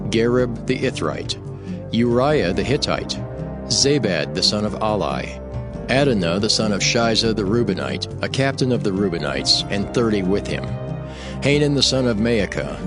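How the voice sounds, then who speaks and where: Male audio Bible narrator, lowish register, formal and measured scripted reading, clear music bed. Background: background music.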